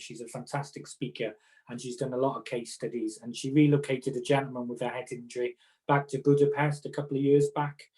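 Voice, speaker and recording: A middle-aged man with a Welsh accent and a mellow, relaxed voice at an average pitch. He talks informally, like an experienced therapist advising colleagues, explaining things chattily through everyday examples. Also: rough recording. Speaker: occupational therapist and conference speaker. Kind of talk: informal advice talk to fellow professionals.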